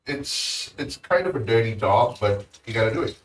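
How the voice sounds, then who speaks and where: A man's voice: medium pitch, animated, normal speed. A man with an Indian accent, casually chatting with viewers while cooking on a live stream. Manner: easygoing, chats with viewers while working. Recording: roomy sound, mic at a distance.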